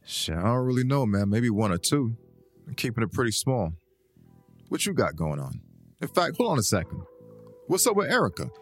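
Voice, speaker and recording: A blunt, ribbing buddy in an audio drama, acted by a man, talks in loose slang with very lively pitch swings. He teases and dishes out advice like an old friend, with music clearly playing behind him. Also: normal speed, pauses often, lightly acted. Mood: casual, curious. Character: brash, teasing, advice-giving buddy playing pool. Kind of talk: casual conversational audio drama acting.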